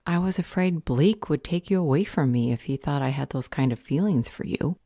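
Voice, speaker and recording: A woman playing a calm, protective vampire healer, a motherly mentor speaking earnestly in an audio roleplay. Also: deep voice, a little fast, dry recording, clean studio sound. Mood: tender, earnest.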